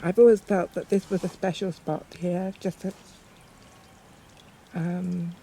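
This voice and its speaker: Slow, halting woman with a creaky voice. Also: lowish voice, flat delivery, dark tone, rough recording. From northern England. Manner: halting, pausing often, thoughtful. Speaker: poet. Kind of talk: reflective documentary narration, unscripted.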